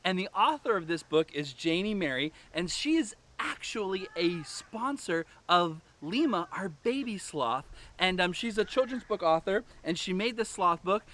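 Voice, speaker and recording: A man's voice: high voice, animated, normal speed, few pauses, pushed and bright. A man presenting a children's story session in a casual, conversational way. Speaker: presenter at an animal sanctuary. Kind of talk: casual introduction to a story reading.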